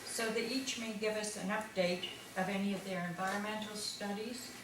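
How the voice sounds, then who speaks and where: A woman chairing a town meeting, introducing the speakers in a measured way and setting out the agenda. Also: mid-range voice, fairly even intonation. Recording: echoey hall from far off with hiss.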